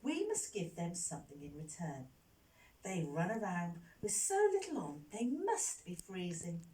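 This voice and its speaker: A woman's voice: fairly low pitch, sing-song, measured pace, mellow. A woman voicing a kindly, caring shoemaker's wife in a storybook, speaking earnestly. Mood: grateful, caring. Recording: roomy echo, distant mic, faint noise.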